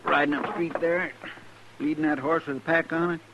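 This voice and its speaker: An actor playing a simple, anxious, loyal rustic marshal's helper with an in an old-time radio Western. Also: male voice, fairly high pitch, fairly even intonation, a little fast, lightly acted. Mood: uneasy. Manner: plain, folksy, easily exasperated. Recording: dull, slightly noisy vintage radio recording.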